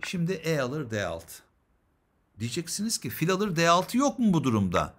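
A man's voice: on the high side, wildly swooping pitch, normal speed, fairly bright tone, a little hiss or hum. A man giving instructional chess commentary, explaining moves and posing questions that he then answers.

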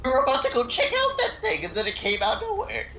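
A man plays a police officer in improvised game roleplay. His voice is bright and a little high, with lively intonation. Faint game ambience sits behind him. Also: fast, a little hiss or hum.

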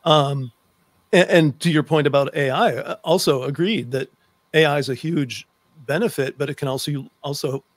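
A man's voice: on the high side, moderate pace, no room sound. A middle-aged man with an American accent, hosting a conversational interview into a close desk microphone. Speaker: host. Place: book-lined room indoors, on a video call.

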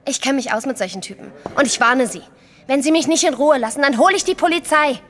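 Wary young waitress, curt and sceptical, highish voice, radio play. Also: female voice, quick pace, fairly bright tone. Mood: indignant, sceptical. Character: brisk, wary young waitress, quick to rebuff. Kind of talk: German audio drama acting.

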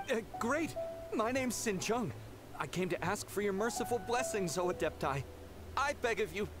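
An actor playing a pushy young pilgrim who turns impatient and dismissive. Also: male voice, high-pitched. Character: pushy young male pilgrim seeking blessings. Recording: game audio with music behind. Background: music.

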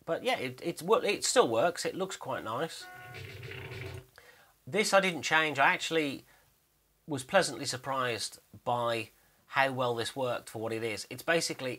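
A man with a bright, forward voice at an average pitch talks casually through 3D animation work. He is explanatory and unscripted, pausing to think aloud as he narrates what is on his screen.

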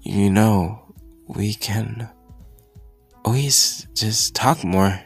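A man playing a shy, kind teenage hero-school boy in an anime ASMR roleplay, speaking haltingly and apologetically, with stammers. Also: fairly low pitch, husky, faint music behind, exaggerated voice. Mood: gentle, reassuring.

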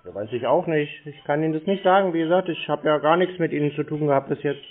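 A man's voice: fairly high pitch, fast. A man in a casual phone conversation, answering in a blunt, laconic and nonchalant way. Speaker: prank call recipient playing a customer. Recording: thin, muffled phone line.

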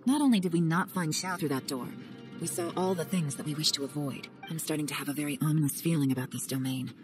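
A composed secret agent speaks in a low, dry, self-assured voice, as a woman acts her out. She sounds unhurried and in control, with clear game music behind her. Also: lightly acted. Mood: cool. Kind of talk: game cutscene voice acting.

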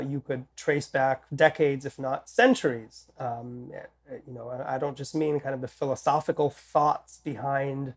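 A man in his thirties, slightly nasal from a head cold, speaking in a measured, deliberate way with many ums and restarts as a guest on a video call. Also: medium pitch. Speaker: political scientist guest. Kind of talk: interview conversation. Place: home office with bookshelves.

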